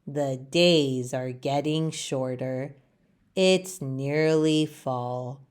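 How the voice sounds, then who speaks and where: Woman playing a small, soft-voiced worried leaf. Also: extremely low pitch, very slow, clean tone, pitched below the performer's own voice, heavily acted. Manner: soft, anxious. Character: small worried leaf, gloomy and afraid to fall. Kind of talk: children's storybook character voice.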